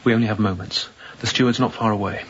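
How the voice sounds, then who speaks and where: An actor playing a resolute young sea captain in a radio drama, giving terse, authoritative orders. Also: male voice, lowish voice, fairly even intonation, quick pace, natural voice. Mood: urgent, hushed. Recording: dull lo-fi radio drama, faint noise.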